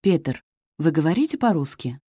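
A woman's voice: sits low, big pitch swings, normal speed, mellow, breathy edge, no echo, clean studio sound, exaggerated voice. A woman playing a friendly journalist in a scripted textbook dialogue, reading evenly and carefully. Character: adult female journalist, friendly and polite. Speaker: dialogue actor in a listening test.

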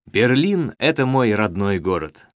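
Guy voicing a friendly young student, lively up-and-down voice, dry close sound, slow clear textbook lines. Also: fairly low pitch, no room sound. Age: young adult. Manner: slow, carefully clear reading. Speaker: dialogue actor in a listening test. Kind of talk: scripted textbook dialogue.

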